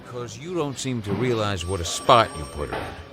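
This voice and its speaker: A deep, gruff police sergeant. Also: male voice, big pitch swings, normal speed, over loud music. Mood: scolding. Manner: blunt, scolding, commanding. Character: gruff, blunt police sergeant and former coach. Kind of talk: audio drama acting.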